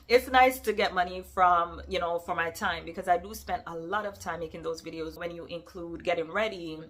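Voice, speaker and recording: A woman's voice: lowish voice, moderate pace. A woman from Jamaica with a Jamaican accent tinged with New York, answering viewer questions in a casual, emphatic vlog. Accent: Jamaican mixed with New York. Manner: animated, emphatic, addresses viewers directly.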